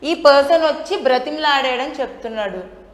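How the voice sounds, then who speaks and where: Female interview guest, slightly high voice, aggrieved repetitive delivery, English words mixed in, echoing remote call. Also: animated, moderate pace. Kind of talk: live interview testimony. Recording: echoey, reverberant remote call.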